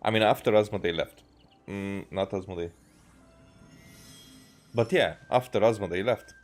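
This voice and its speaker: A man from Hungary, thinking aloud in halting, rambling gameplay commentary and often repeating himself. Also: fairly low pitch. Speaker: video game streamer. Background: faint game music.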